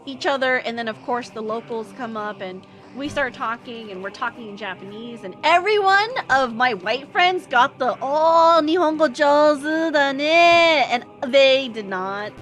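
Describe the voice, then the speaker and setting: A woman's voice: high voice, expressive, measured pace, hardly any pauses, a bit bright, faint music behind. A young American woman streamer telling a story in a casual, rambling way, with many fillers and restarts. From United States. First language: English.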